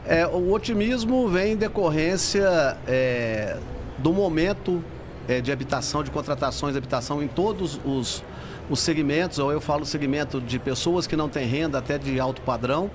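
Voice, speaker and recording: A man's voice: on the high side, normal speed. A man with a Brazilian accent giving informal, explanatory answers as an executive guest in an interview. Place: convention centre trade fair. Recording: faint event hall background noise.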